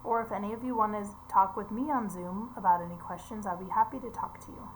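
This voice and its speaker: A woman's voice: mid-range voice, quick pace, slight background noise, low-quality recording. A woman reading a picture book aloud to young children, explaining as she goes and putting simple questions to her listeners.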